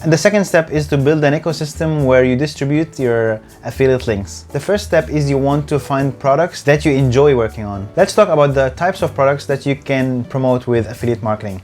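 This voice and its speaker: A man's voice: mid-range voice, darkish tone. Earnest man explaining, faint music behind. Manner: earnest, a bit stiff on camera. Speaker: channel host. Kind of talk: scripted explainer tutorial.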